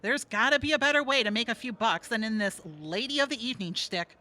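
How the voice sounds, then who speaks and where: Brassy, sarcastic streetwalker, high-pitched. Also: female voice, fast talker, sharp tone. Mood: wry, weary. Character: brassy, sarcastic streetwise woman prostitute, jaded jail regular. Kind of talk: audio theatre comedy acting.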